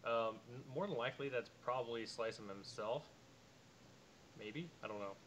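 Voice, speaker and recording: A man's voice: mid-range voice, normal speed, low-quality recording. A man in his late twenties casually explaining a tank game while playing, as stream co-host. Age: 20s. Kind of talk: casual gameplay commentary. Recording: loud background noise from game audio.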